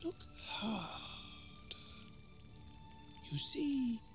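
Man playing cryptic old baboon shaman, playful, lively pitch. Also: very slow, music in the background, heavily acted.

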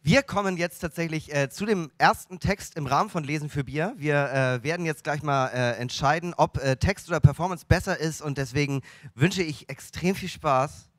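A jokey man hosting a stage show, playful and casual as he introduces the next act. His voice sits a bit higher than most men's. The sound is dry and close, with little room echo. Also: moderate pace. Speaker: host. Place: stage without audience.

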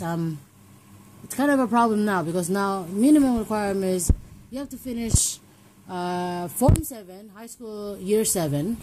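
A woman's voice: mid-range voice, darkish tone, slight background noise, poor audio. A young woman from Hong Kong talking casually at home in a personal vlog, rambling and restarting her sentences as she thinks aloud. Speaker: vlogger. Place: home, indoors.

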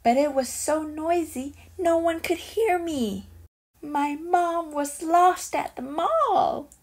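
A woman reading a children's picture book aloud as a storyteller, in an expressive and deliberate way. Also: extremely high pitch.

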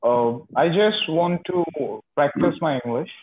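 A man's voice: fairly high pitch, lively intonation, measured pace, noisy background. Young Pakistani man on a tinny line. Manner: hesitant, short answers with many uh's. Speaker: English teacher practicing conversation. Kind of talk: casual video-call conversation. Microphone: phone held close.

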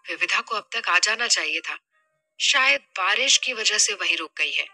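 A woman's voice: mid-range voice, lively intonation, normal speed, pushed and bright, soft background music. A woman voicing an anxious, trusting middle-aged mother in an audio drama, musing worriedly to herself.

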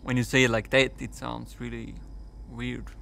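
An actor playing a sheepish, evasive lab scientist in an audio drama, speaking in a casual, understated way. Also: male voice, medium pitch, monotone, moderate pace, creaky, fairly bright tone.

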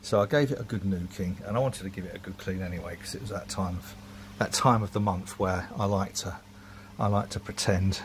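A man's voice: low voice, a little fast, few pauses, a little hiss or hum. A man, rambling casually and self-mockingly while filming his home brewery workshop on a handheld phone.

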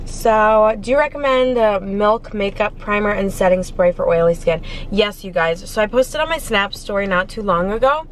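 A woman's voice: medium pitch, fairly bright tone. A woman in her twenties with an American accent, chatting casually and gushingly to camera as she eats in a parked car. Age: 20s. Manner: informal, gushing, talking between bites. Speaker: food vlogger. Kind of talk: casual chatty eating vlog. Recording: faint car and street noise.

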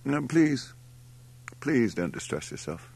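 A pompous middle-aged storybook gentleman, acted out by a male reader. He is timid and hesitant, with swooping pitch and a gravelly edge, and makes a show of his politeness. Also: big pitch swings, takes it slowly, exaggerated voice. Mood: feebly gallant. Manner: hesitant, self-important, politely dramatic.

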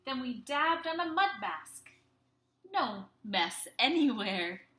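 A young woman teacher reading a storybook aloud to young children in an animated, expressive way, speaking to them directly. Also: sits high, slow. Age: young adult. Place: small room at home. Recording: roomy, echoey home webcam sound.

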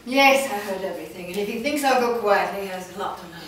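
A woman's voice: mid-range voice, expressive, fast, fairly bright tone, lightly acted. A woman playing a proud, menacing master vampire in a staged play reading, defiant and dismissive. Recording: echoey room, far mic, faint noise.

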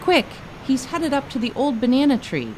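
A woman voicing a mischievous, easily scared little boy monkey in a storybook, pleading and excitable. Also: high-pitched, normal speed, a little hiss or hum, pitched above the performer's own voice, poor audio.

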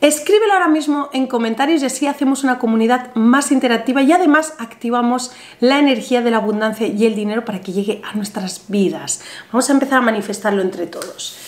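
A woman's voice: fairly high pitch, fast, few pauses. Chatty Spanish woman in a roomy space. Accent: Spain Spanish. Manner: chatty, animated, talks with her hands. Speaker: ritual instructor. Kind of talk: step-by-step ritual tutorial to camera. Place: small bright room indoors, seated at table. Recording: roomy echoey room sound.

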